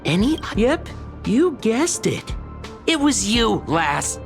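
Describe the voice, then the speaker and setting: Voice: mid-range voice, very animated, slow pace, soft background music. An actor playing a condescending, teasing research colleague in a video game, chatty and scoffing with exaggerated delivery. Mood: gossipy, mocking. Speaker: researcher character. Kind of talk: animated video game dialogue.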